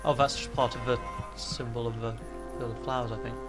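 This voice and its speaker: A man's voice: mid-range voice, level tone, a little fast, loud music behind the voice. A man with a cold hosting a casual let's play, talking his thoughts through in a hesitant, rambling way with many restarts. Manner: rambling, hesitant, self-correcting. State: has a cold. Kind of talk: casual gameplay commentary.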